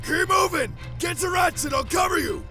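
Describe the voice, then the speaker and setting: An actor playing a commanding veteran soldier, barking urgent orders at a shout in an audio drama. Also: male voice, very high voice, moderate pace, faint music behind.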